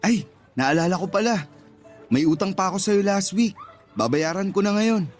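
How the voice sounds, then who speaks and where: A man's voice: high voice, animated, moderate pace, soft background music. An actor playing an oblivious, shy, good-natured young male customer in a Tagalog radio drama, innocent and puzzled. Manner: innocent, puzzled, plain-spoken.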